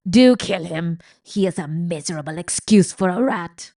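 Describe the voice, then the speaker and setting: A woman's voice: a little slow, a little mellow. Botticelli, a woman voicing a gleeful jeering rat. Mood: loud jeering. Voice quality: booming and mocking, with cackling laughs. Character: gleeful cruel male rat, loud, mocking.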